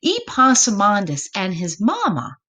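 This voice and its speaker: A woman reading a storybook aloud to children in an expressive way, with chatty asides to her listeners. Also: medium pitch, unhurried. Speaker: storybook reader.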